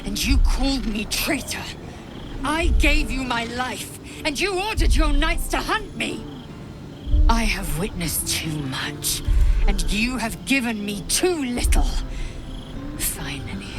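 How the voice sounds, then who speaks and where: A woman's voice: on the high side, big pitch swings, takes it slowly, over music. An actress playing a proud, fierce alien warrior woman in a video game, solemn, formal and intense. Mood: defiant. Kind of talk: dramatic video game acting.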